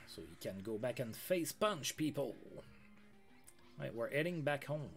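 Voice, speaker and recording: A man streaming a sports management game, reading out game news with casual asides. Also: mid-range voice. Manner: chatty, reads game news aloud with asides. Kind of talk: casual let's-play commentary.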